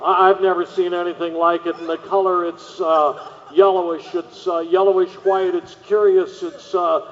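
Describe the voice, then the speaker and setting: A man playing a rattled news reporter live on the scene in a radio drama, halting and stammering with agitation. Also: high voice, little pitch movement, close to an everyday voice. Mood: astonished. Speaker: field reporter. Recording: dull phone report, long reverb, ambient noise.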